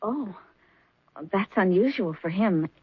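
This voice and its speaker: An actress playing a demure young poisoner who feigns innocence, coy and apologetic, in a 1970s radio mystery. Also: female voice, medium pitch, expressive, slow pace, breathy edge, no echo, full character voice. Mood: coy politeness. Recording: muffled, dry lo-fi 1970s radio recording.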